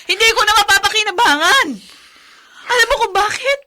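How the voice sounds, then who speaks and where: A bitter, worn-out mother in a radio drama. Her voice is very high and piercingly bright, and she delivers her lines with forceful, anguished emotion. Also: a little fast. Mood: bitter, resentful. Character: bitter, exhausted mother resentful of her life. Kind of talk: Filipino radio drama acting.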